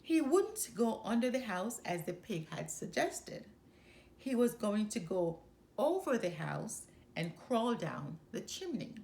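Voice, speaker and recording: A woman reading a children's story aloud as an expressive storyteller. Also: medium pitch, slow pace, creaky.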